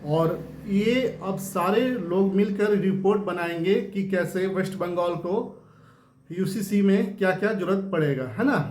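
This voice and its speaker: A man with an Indian accent hosting informal political news commentary, explaining to viewers with repeated phrases and frequent tag questions. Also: sits high. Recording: echoey, roomy sound.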